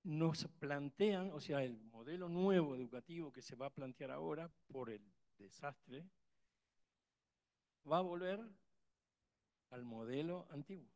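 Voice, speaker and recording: A man's voice: fairly high pitch, lively intonation, unhurried, long pauses, darkish tone. Emphatic Argentine man, rambling. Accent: Argentine Spanish. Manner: emphatic, rambling, many restarts and fillers. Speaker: conference attendee asking a question. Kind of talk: impassioned audience remark.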